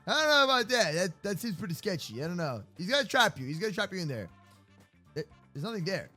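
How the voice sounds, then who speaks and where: A man with a bright, high-set voice commentates an improvised game roleplay. His delivery swings widely in pitch and is full of casual slang. Music plays behind him. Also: fast talker. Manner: animated, slangy, reacting aloud. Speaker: gaming video host.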